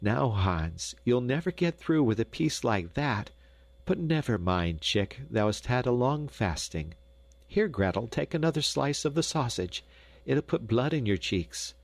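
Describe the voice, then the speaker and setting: A man voicing a fussy, warm and eager peasant mother in a storybook, with homely old-fashioned speech. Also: medium pitch, big pitch swings, unhurried, gravelly, dry recording, voice pushed up, exaggerated voice. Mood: motherly.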